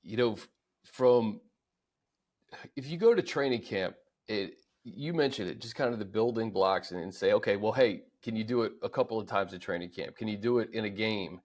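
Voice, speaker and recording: A man's voice: lowish voice, moderate pace, a little mellow. An American man hosting a sports show with casual, conversational football commentary. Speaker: sports show host and analyst.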